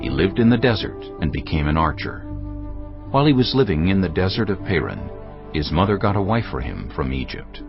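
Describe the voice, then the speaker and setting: Very deep, expressive male scripture narrator. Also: fairly quick. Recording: dull audio under clear music.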